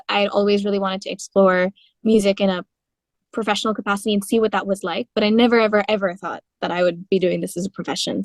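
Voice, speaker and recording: A woman's voice: mid-range voice, no echo. Young woman telling stories, dry close sound. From India. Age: 20s. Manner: animated storytelling with frequent laughs and fillers. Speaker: guest singer-songwriter. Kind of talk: conversational interview.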